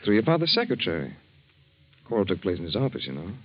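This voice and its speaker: Brisk, low-voiced young radio suitor. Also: male voice, huge pitch swings, exaggerated voice. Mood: brisk, determined. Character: brisk, reassuring young fiancé taking charge. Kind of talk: 1940s radio acting. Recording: dull 1940s radio recording.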